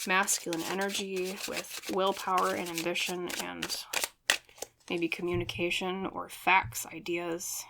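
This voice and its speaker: A woman's voice: lowish voice, slight background noise. A woman hosting a tarot reading in a casual, conversational way, talking reflectively and ramblingly straight to her viewers. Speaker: tarot reader and channel host.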